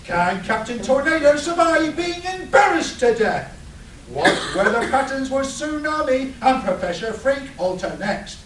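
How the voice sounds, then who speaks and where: A man's voice: extremely high pitch, big pitch swings, measured pace. A man narrating a live comedy radio play with the melodrama of a serial announcer. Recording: roomy, distant live convention recording with noise.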